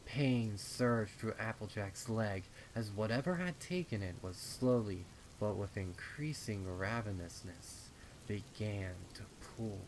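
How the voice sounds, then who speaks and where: Guy reading scary story, low voice, suspenseful, rain noise behind him. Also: expressive, slow pace, rough recording. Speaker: fan fiction narrator. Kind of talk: dramatic horror story narration.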